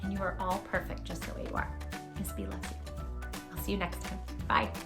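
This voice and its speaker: A woman hosting storytime, reading a children's picture book aloud in a gentle, expressive, affectionate way. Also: lowish voice, brisk, echoey room, over music.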